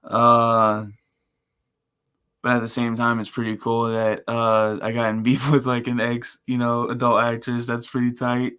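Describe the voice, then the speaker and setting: A man with a monotone, chill voice, rambling casually and slangily as a live streamer. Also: mid-range voice, unhurried, clean tone. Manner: laid-back, chill. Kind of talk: casual livestream chat.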